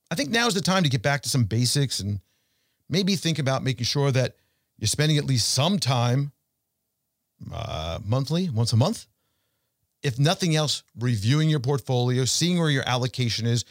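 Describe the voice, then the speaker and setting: A man's voice: medium pitch, no echo. Emphatic man talking money, dry close sound. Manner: emphatic, rhetorical questions, deliberate pauses. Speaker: financial show host and portfolio manager. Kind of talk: conversational investment commentary addressed to listeners.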